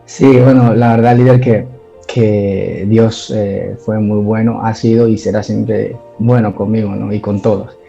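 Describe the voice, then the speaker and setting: A man's voice: lowish voice, level tone, a little slow, gravelly, dark tone, dull audio, soft background music. A man from Ecuador, giving his church testimony in an interview and talking hesitantly, with many fillers and restarts.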